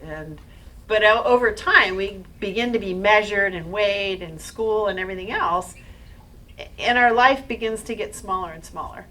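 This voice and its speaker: A woman's voice: medium pitch, normal speed, sharp tone, far from the mic, a little hiss or hum, poor audio. A woman presenting live to an audience, explaining ideas in a plain-spoken way.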